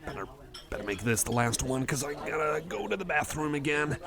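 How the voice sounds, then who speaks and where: A man voicing a friendly, nerdy cyborg card collector in an ASMR roleplay, rambling casually with a halting, apologetic manner. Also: on the high side, lively intonation. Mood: rambling, impressed. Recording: cafe chatter behind the voice. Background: cafe crowd ambience.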